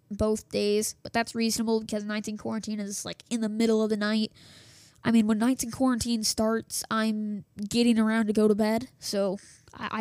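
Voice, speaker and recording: A woman's voice: medium pitch, a little mellow, no room sound. A woman hosting a small radio news show from her home office, chatting casually with lots of fillers and restarts while she is losing her voice. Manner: chatty, self-interrupting, many fillers and restarts. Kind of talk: casual rambling radio chat. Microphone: desktop setup with small soundboard.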